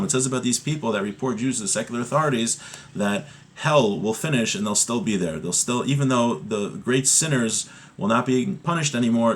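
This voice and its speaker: Low-voiced man teaching a religious class. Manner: explanatory, checks with "right?", repeats key phrases. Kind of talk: informal religious lesson, reading and explaining a text.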